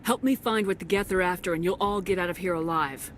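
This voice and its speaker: An actress playing a resolute, businesslike military commander in a sci-fi video game, brisk and direct. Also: female voice, lowish voice, slight background noise, lightly acted.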